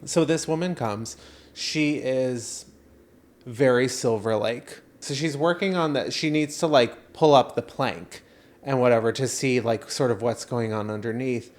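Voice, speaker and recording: A man co-hosting a podcast, telling a story casually and haltingly, with dry joking along the way. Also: medium pitch. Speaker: podcast co-host.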